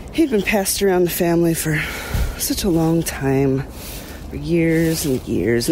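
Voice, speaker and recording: An actress playing a weary, uneasy small-town woman, recollecting in a hesitant, plainspoken, confiding way with pauses, as testimony in an audio drama. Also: fairly low pitch, a little mellow, a little hiss or hum. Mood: sad, tender.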